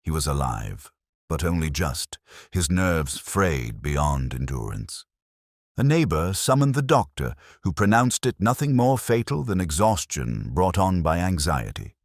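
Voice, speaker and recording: Very deep male story narrator. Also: sing-song, no room sound, clean studio sound. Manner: measured, literary storytelling. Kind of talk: scripted audiobook mystery narration.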